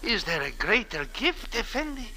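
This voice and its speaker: Little Egyptian camel messenger for vintage radio drama: very high, very bright, servile, ornate, easily agitated. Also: male voice, sing-song, moderate pace, full character voice. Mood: humble, courteous. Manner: ornate, deferential, flowery phrasing. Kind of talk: old-time radio drama acting.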